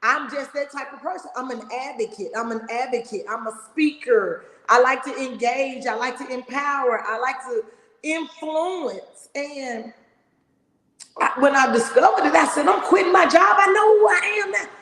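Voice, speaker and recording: Loud, emphatic middle-aged woman, echoey room. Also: high voice, normal speed. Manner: loud, big-voiced and emphatic. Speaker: podcast host. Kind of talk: motivational casual talk. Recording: echoey room with long reverb.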